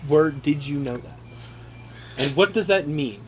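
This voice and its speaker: A man casually reading aloud and riffing on the text with sarcastic, profane, animated asides, on an amateur home recording. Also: a little high, a little slow, background noise. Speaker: reader riffing on a handbook aloud to his brothers. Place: casual room with brothers chiming in.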